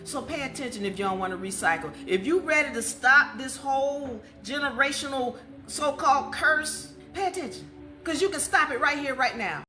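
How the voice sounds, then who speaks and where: High-voiced woman lecturing emphatically over music. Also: lively intonation, normal speed. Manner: emphatic, didactic, asks rhetorical questions. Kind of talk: impassioned informal history lecture. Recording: music under the voice.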